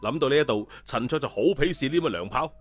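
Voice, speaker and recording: A man's voice: mid-range voice, expressive, fast. Male storyteller over music. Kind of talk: colloquial audio story narration.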